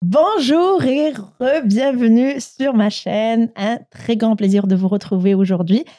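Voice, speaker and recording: Mellow, higher-voiced woman hosting. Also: unhurried. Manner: eager, interjects with short prompts. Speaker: podcast host. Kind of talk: casual interview chat.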